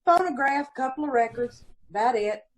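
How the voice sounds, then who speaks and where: Woman voicing a landlady, middle-aged, mother of five, husky, highish, gossipy, self-pitying, radio drama. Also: expressive, moderate pace, rough recording. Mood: grudging. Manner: folksy ungrammatical talk, indignant and self-pitying. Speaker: witness in radio police drama. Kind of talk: old-time radio acting.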